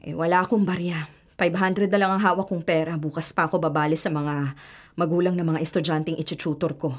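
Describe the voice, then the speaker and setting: A woman's voice: deep voice, fairly even intonation, fairly quick. A woman acting a hardworking, self-sacrificing middle-aged mother in a radio drama, earnest and principled. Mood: weary, patient. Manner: earnest, principled, pleading when pressed.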